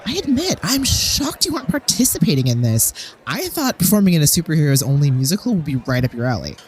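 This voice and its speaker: A woman's voice: deep voice, big pitch swings, fast talker. A woman playing a showy, self-promoting talk show host in an audio drama, gushing with dry, backhanded politeness. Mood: chatty, probing. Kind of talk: broadcast interview in audio drama. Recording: crowd murmur behind.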